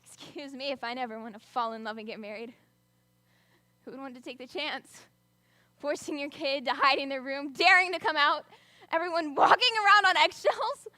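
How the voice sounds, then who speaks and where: A woman's voice: high-pitched, moderate pace, bright, forceful tone, no room sound. A young woman performing a dramatic monologue as a teenager stuck at home with fighting parents, speaking in a wry, bitter way that alternates between brooding and sudden outbursts. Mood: defiant.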